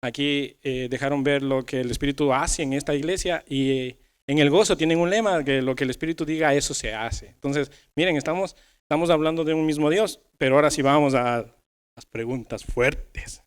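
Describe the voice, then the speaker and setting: Clear, higher-pitched male podcast host. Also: a little fast. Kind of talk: conversational church youth podcast hosting.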